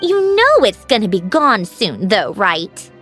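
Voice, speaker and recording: A woman playing an aloof, proud young woman in an anime-style video game, with a brisk, cool, skeptical manner. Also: medium pitch, wildly swooping pitch, over music. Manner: clipped, cool, dismissive.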